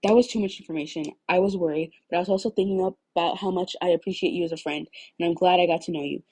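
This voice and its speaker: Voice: mid-range voice, steady tone, fast talker, a little mellow, slightly rough, no room sound, close to an everyday voice, rough recording. Woman voicing a tired, blunt teenage boy. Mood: embarrassed, blunt. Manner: huffy, groaning. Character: gruff, sleep-deprived, blunt but caring teenage boy.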